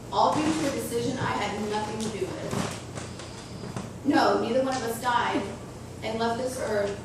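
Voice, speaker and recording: A woman with a raspy voice giving an earnest personal talk to schoolchildren about making wise choices. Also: a little high. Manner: earnest, slow and deliberate with children. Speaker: guest speaker to schoolchildren. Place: school hall. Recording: echoey room, distant mic, noisy.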